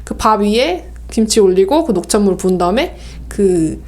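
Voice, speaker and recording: Woman, podcast host, medium-pitched voice, casual chat, step-by-step explanations. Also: expressive, moderate pace, a little mellow. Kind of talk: casual chat, sharing tea recipes.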